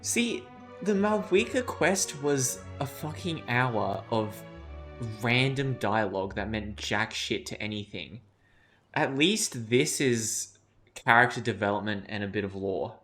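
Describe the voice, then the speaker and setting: A man's voice: mid-range voice, animated, unhurried, a bit bright. A man giving casual, blunt, slangy live reactions as a game streamer, with game music behind him.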